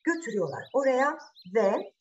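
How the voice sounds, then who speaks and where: Animated woman telling children's fairy tales. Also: high voice, normal speed, dull audio. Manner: dramatic, asks the young listeners questions. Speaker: storyteller. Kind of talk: informal children's fairy-tale storytelling.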